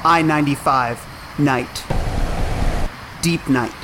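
A man's voice: medium pitch, takes it slowly, background noise. A man reading scripted, screenplay-style narration for an audio drama. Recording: music under the voice.

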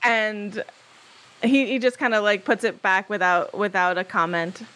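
A woman's voice: mid-range voice, unhurried, pushed and bright. A woman running a tabletop game as game master, narrating casually with hesitant, filler-heavy speech.

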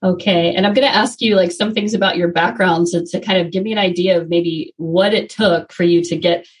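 A woman's voice: fairly low pitch, fairly even intonation, a little fast, husky, rough recording. A woman hosting a podcast, asking long, encouraging questions of her guest. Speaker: podcast host. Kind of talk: interview questions.

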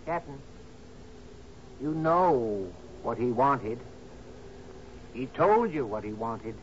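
An actor in a radio drama playing a captain's patient, knowing companion, folksy, deferential and gently insistent. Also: male voice, medium pitch, lively intonation, slow. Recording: muffled, hissy old radio broadcast.

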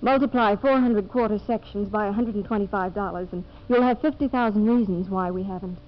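A woman's voice: medium pitch, fairly quick, close to an everyday voice. Principled, polished young woman played by an actress, firm and sincere, mid-range voice, dull vintage radio sound. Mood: earnest. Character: earnest, principled, well-spoken young woman settler. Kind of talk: 1950s radio drama acting. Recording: noisy, muffled vintage radio recording.

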